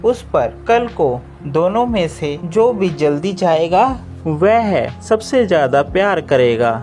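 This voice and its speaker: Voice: mid-range voice, expressive, slow, a little mellow, soft background music. A narrator voicing a touchy young female sparrow in a cartoon story, speaking in a raised, accusing tone. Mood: sulking.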